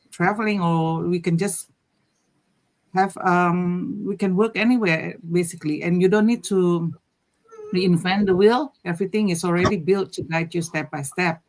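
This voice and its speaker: A woman from Indonesia in her fifties, a guest on a live panel, speaking hesitantly with many pauses and "um"s. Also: lowish voice, rough recording. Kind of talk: casual panel chat.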